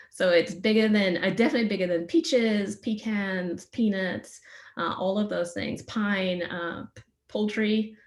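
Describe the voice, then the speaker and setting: A woman in her forties from Trinidad with a Trinidadian accent, a veterinarian giving a friendly, enthusiastic science talk with some fillers. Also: fairly low pitch. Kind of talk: informal science presentation. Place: remote online session.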